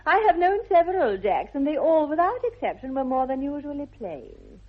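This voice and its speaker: A woman's voice: sits high, very animated, normal speed. An actress playing a self-assured, romantic young English society lady in a 1960s radio comedy, with an affected, precise upper-class accent. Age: young adult. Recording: dull old radio recording.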